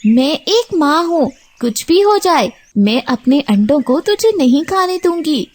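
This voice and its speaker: Very high female cartoon bird, voiced by a woman, protective mother, brave, desperate, emphatic, pleading. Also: big pitch swings, unhurried, a little mellow. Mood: defiant. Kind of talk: Hindi children's cartoon voice acting.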